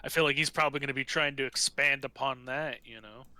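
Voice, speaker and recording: A man chatting casually as a player in a tabletop roleplaying game, in a halting, rambling way. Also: medium pitch, fairly even intonation, pushed and bright, clean tone, no room sound.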